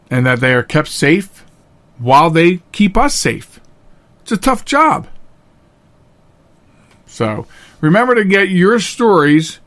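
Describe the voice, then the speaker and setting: A male podcast host with a fairly high-pitched voice talks straight to his listeners. He is earnest and emphatic, often saying a phrase again to make it land. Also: a bit bright. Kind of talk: solo talk show monologue, directly addressing listeners.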